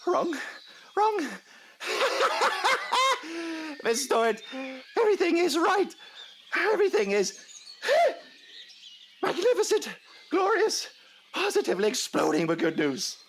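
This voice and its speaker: Exuberant, wildly swooping investigator. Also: male voice, very high-pitched, wildly swooping pitch, slow, pauses often, fairly bright tone, a little hiss or hum, exaggerated voice. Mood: excited, jubilant. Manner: theatrical, emphatic, breaking into lists and repetitions. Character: exuberant, eccentric male investigator bursting with triumph. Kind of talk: audio drama acting.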